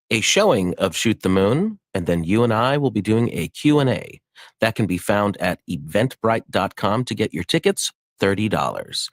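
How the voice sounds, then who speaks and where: A man co-hosting the show, reading out event announcements in a lively way. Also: fairly low pitch, no room sound, studio quality. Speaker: co-host.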